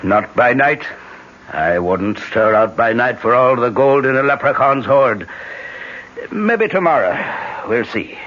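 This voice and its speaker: Irish village innkeeper, mid-pitched, gruff, secretive, bossy, muffled vintage radio play. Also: male voice, lively intonation, unhurried. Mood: gruff, guarded. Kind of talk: 1970s radio drama acting. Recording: muffled 1970s radio recording.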